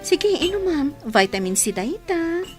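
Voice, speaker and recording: An actress playing a kind, coy, motherly woman being courted in an Ilocano radio drama, gentle and teasing in manner. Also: sits high, sing-song, a little slow, faint music behind. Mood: motherly, affectionate.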